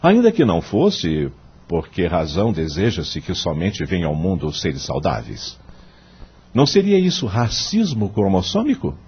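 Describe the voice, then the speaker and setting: An actor playing a wise, kindly male law professor in a radio drama, with a Brazilian accent and a husky voice, measured and moralizing. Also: low voice, wildly swooping pitch, highs cut off, exaggerated voice. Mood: earnest, didactic. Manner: didactic, measured, moralizing, rhetorical questions.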